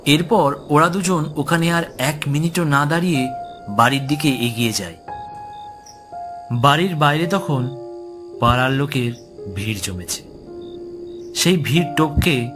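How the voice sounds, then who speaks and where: A man narrating a horror story in a dramatic, scripted storytelling style. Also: mid-range voice. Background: music.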